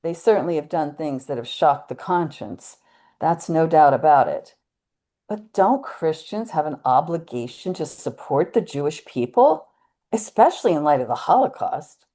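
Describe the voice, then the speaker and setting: A woman's voice: deep voice, big pitch swings, moderate pace, warm tone. A woman playing a concerned American churchgoer with doubts, hesitant and tactful, in a conversational readers theater dialogue. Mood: questioning.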